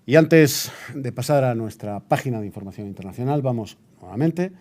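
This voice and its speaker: A man's voice: mid-range voice, animated, normal speed, a little mellow, lightly acted. A man playing a solemn, indignant Spanish radio newsreader of 1936, reading a formal, declamatory bulletin. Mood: formal, grave. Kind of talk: scripted period radio news bulletin.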